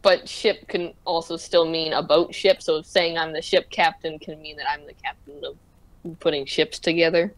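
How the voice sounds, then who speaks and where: A woman's voice: deep voice, fairly even intonation, moderate pace, no room sound, low-quality recording. A woman chatting casually with friends, playful and giggly, explaining jokes and wordplay. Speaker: friend drawing on stream. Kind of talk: casual group chat.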